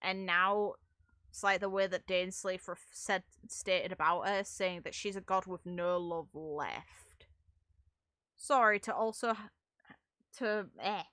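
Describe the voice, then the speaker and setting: A woman's voice: on the low side, slow pace, sharp tone, dry recording, clean studio sound. A woman giving casual, rambling commentary on game lore, informal and a little sweary, with wry asides. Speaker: gaming video creator discussing game lore.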